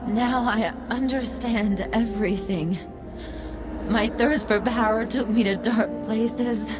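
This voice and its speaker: A power-hungry witch from a video game cutscene. The voice is fairly high and very drawn out, haughty and theatrical, over loud music. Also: fairly even intonation. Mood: remorseful, urgent. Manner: scornful, theatrical, drawn-out menace. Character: haughty power-hungry evil witch who curses mortals.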